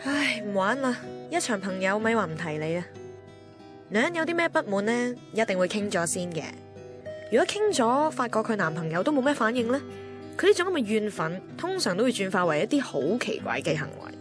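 A woman's voice: mid-range voice, big pitch swings, fairly quick, natural voice. An actress playing a blunt, teasing, know-it-all female friend in a radio drama, emphatic, with a Hong Kong Cantonese accent. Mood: exasperated, teasing. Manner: emphatic, mixes in English words. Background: music.

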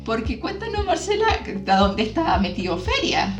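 A woman's voice: on the high side, wildly swooping pitch, normal speed. Chatty Chilean woman podcaster over music. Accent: Chilean Spanish. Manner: chatty, informal, prompts her guest with questions. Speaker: podcast host. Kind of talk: casual chat, travel podcast.

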